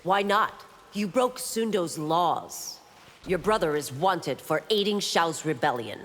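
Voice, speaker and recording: A woman's voice: on the low side, lively intonation, slow pace, slight background noise. An actress playing a stern, dutiful female warrior constable in a video game, formal and firm. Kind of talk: video game character dialogue. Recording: long hall-like reverb.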